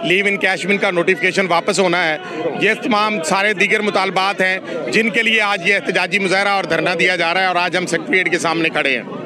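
A man's voice: sits high, fairly even intonation, brisk. A man stating demands firmly at an outdoor street protest. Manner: firm, declarative. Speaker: union leader. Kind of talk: protest interview. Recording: loud crowd behind. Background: crowd.

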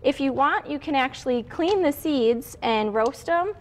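High-voiced young woman explaining a recipe. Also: lively intonation, measured pace. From Wisconsin. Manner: friendly, explains step by step. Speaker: guest home cook. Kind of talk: casual cooking demonstration. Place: TV studio kitchen set.

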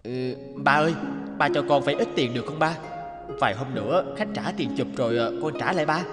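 A man's voice: mid-range voice, fairly quick, over loud music, close to an everyday voice. A man voicing a pleading, impatient young man in a cartoon, with a whining, emphatic way of talking. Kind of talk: cartoon dubbing.

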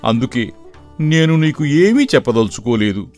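A man playing a kindly elderly village uncle who consoles and advises in an animated moral story. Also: medium pitch, normal speed, lightly acted. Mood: consoling. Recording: background music throughout.

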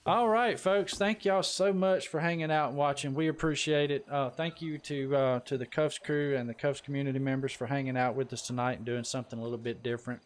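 A man's voice: medium pitch, steady tone, brisk, few pauses. Folksy man hosting a livestream. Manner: folksy, says y'all. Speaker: livestream host. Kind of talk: casual chat while gaming live. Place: voice chat during an online game stream.

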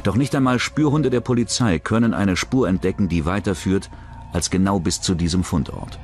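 A man's voice: low-pitched, moderate pace, a little mellow. A man narrating a scripted true-crime documentary. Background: background music.

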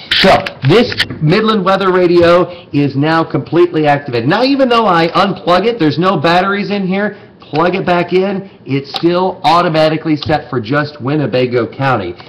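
American man explaining over noticeable background noise. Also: a little high. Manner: conversational, explanatory, step-by-step. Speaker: TV meteorologist presenting a how-to. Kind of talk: informal instructional demonstration to camera. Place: indoor TV station office with desk and monitors. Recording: clearly noisy room sound, clicks.

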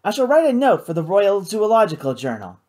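A pompous upper-class man of science from an adventure audiobook, as a narrator puts him on. He is smug and condescending, lecturing with lively, patronising swoops. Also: mid-range voice, unhurried, pitched above the performer's own voice.

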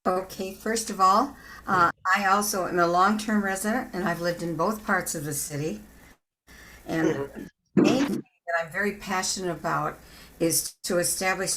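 A woman with a somewhat low voice gives an informal campaign statement. She is plain-spoken and deliberate, with faint noise behind her on the call. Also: a bit bright, rough recording. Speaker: city council candidate.

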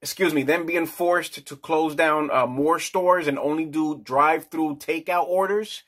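A man's voice: a little high, steady tone, measured pace, a bit bright, husky. A man in his forties giving a casual, crude and rambling rant in a small indoor office. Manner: brash, crude, slangy, rambling. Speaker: vlogger.

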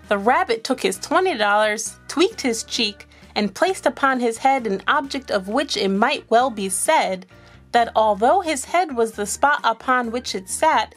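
A woman reading a children's picture book aloud in an expressive, animated storyteller's manner. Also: a little high. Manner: animated, asks young listeners questions.

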